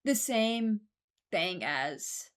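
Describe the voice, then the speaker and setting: A woman's voice: mid-range voice, animated, extremely slow. A woman giving casual commentary, thinking aloud in a hesitant, deliberating way and often correcting herself. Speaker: host ranking game voice lines.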